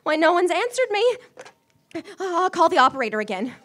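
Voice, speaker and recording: An actress playing a frantic, self-pitying, demanding invalid wife in a melodramatic radio play, with a stammering, imperious way of talking. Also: female voice, very high voice, big pitch swings, brisk, a bit bright, a little hiss or hum, heavily acted. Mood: anxious, fretful. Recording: live stage recording.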